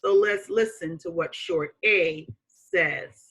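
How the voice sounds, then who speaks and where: Female primary teacher: bright tone, unhurried pace, simple repeated phrasing, question-and-answer phonics style. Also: on the low side, husky. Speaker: elementary school teacher. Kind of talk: remote phonics lesson for young children.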